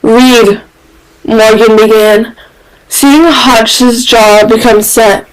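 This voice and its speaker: A woman's voice: fairly high pitch, fairly even intonation, takes it slowly, poor audio. A woman reading scripted fan fiction narration as a podfic narrator.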